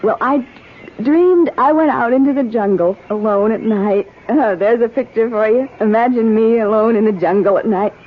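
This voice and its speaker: A woman's voice: on the high side, normal speed, close to an everyday voice. Woman acting a volatile feverish wife, old radio drama. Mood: dreamy, coaxing. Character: feverish, ravenous wife, craving raw meat, childlike and volatile. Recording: dull, noisy old radio broadcast recording.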